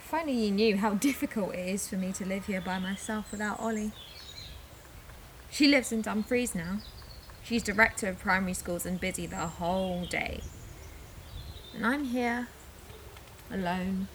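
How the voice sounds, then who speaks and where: A woman's voice: mid-range voice, measured pace, pauses often, a little hiss or hum, lightly acted. A woman acting an emotional young daughter leaving for a new life, in a naturalistic audio drama. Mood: lonely.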